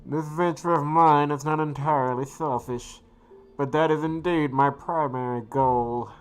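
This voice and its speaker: An earnest student mage from a fantasy game, acted by a woman, with a low female voice. The speech is formal, precise and studious. Faint music sits underneath. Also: fairly even intonation, unhurried, clean tone, rough recording. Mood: earnest, composed. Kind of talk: scripted game dialogue.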